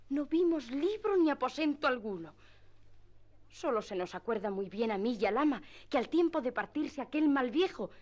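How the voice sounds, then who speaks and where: A young niece speaks high and earnestly in a radio play. Her is emphatic, with an anxious, gently scolding temper. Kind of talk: classic radio drama acting.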